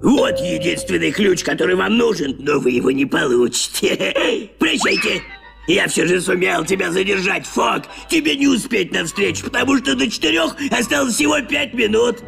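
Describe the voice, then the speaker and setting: Gravelly scheming cartoon bad guy, high and swoopy, theatrical gloating. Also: lively intonation, moderate pace, heavily acted. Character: scheming fat villain who bickers with himself. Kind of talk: dubbed cartoon acting. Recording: old cartoon dub with loud music.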